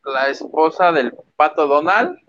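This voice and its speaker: Man, Mexican accent, lightish high voice, lively swooping intonation, playful joking co-host, slightly muffled lo-fi recording. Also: a little slow, slight background noise. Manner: jokey, playful. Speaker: co-host of a celebrity gossip live stream. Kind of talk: casual joking chat.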